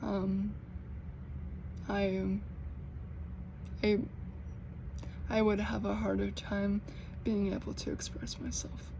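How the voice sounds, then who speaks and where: A young American woman who stutters, with repeated sounds and blocks, talking slowly and earnestly in a personal vlog. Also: medium pitch, flat delivery, gravelly, noisy background. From United States. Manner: slow, earnest, gently encouraging. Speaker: vlogger.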